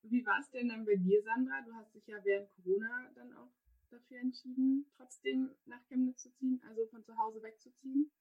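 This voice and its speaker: A woman's voice: fairly high pitch, steady tone, a little fast, husky. A young woman hosting a podcast, asking questions in a friendly, informal way over a video call. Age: young adult. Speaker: podcast host. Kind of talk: casual online interview. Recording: muffled, phone-like line.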